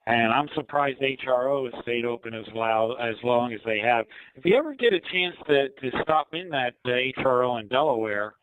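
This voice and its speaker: An older American man in easygoing, hesitant casual chat, pausing often, heard through a digital ham radio link. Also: medium pitch, fairly bright tone, clear, resonant tone, dry recording, rough recording. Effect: digital ham radio voice codec. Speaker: amateur radio operator. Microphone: two-way radio microphone.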